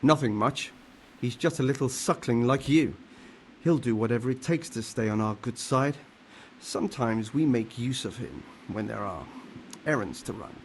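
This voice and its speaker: A man plays a sly, wine-loving older monk for a medieval video game. He speaks at a middle pitch in a hearty, coaxing, colloquial way. Clear background ambience sits behind the voice. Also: lively intonation, moderate pace, a little hiss or hum, natural voice, poor audio. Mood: sly, persuasive. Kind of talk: video game cutscene acting.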